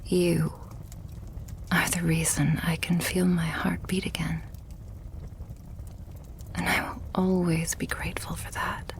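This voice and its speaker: A woman playing a loving, possessive vampire girlfriend in an intimate ASMR roleplay, tender and hushed, with breathy sighs between phrases. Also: lowish voice, level tone, slow, pauses often, slight background noise. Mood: wistful, tender.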